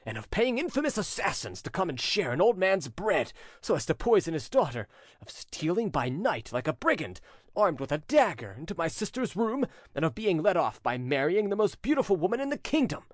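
A man's voice: sits high, very animated, normal speed, hardly any pauses, a little mellow, dry recording, studio quality, voice pushed up. A man voicing a proud young fisherman in a storybook, a protective brother who speaks in a haughty, scornful, declamatory way. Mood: indignant fury.

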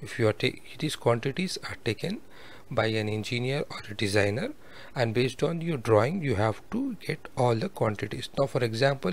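A man's voice: medium pitch, creaky, slight background noise. A man giving a step-by-step technical tutorial over a screen recording, explaining methodically with worked examples. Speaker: instructor.

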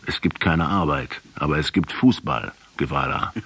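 Deep, very raspy, sombre intellectual. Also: male voice, a bit bright, no room sound, heavily acted. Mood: bitter, sombre. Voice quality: very husky, raspy. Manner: measured, rhetorical, accusing. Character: sombre, reproachful male intellectual interrogating a revolutionary. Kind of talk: German radio drama acting.

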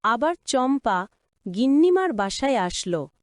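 A woman's voice: sits high, sing-song, measured pace, no room sound. A woman narrating a scripted cartoon story.

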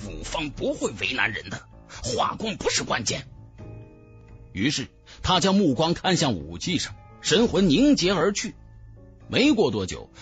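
Guy narrating a fantasy audiobook, higher voice, super expressive, music underneath. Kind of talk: dramatic scripted narration of a fantasy novel.

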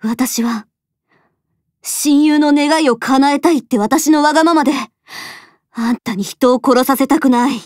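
Resolute protective young heroine, high voice, earnest and firm, dry studio voice drama. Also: female voice, unhurried, a little mellow, dry recording. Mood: sad, resolute. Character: resolute, self-sacrificing young woman, protective friend. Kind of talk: Japanese voice drama acting.

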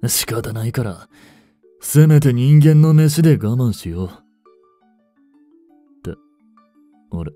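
A man's voice: medium pitch, expressive, slow, long pauses, dark tone, no room sound, faint music behind. An actor playing a blunt, bossy vampire older brother in a Japanese romance voice drama, scolding as he holds back his hunger. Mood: weary. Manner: blunt, rough-spoken, bossy.

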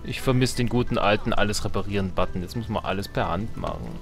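Male Let's Play host, lowish voice, casual and colloquial game commentary with playful exasperation, music clearly behind him. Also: fast talker. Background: video game music.

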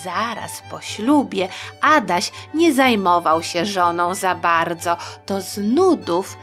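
A woman's voice: medium pitch, lively intonation, takes it slowly, fairly bright tone. Woman telling history like a kids tale, playful and chatty, takes her time, bit of music behind. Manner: playful, conversational, uses diminutives. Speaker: storyteller. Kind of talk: expressive educational storytelling for young listeners.

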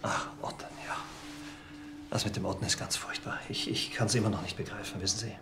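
A man's voice: lowish voice, fairly even intonation, close to an everyday voice. Man playing a chatty naturopath over music. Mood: saddened. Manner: chatty, unhurried explaining. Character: breezy, soothing male naturopath treating a patient. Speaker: alternative practitioner. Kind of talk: TV crime comedy acting.

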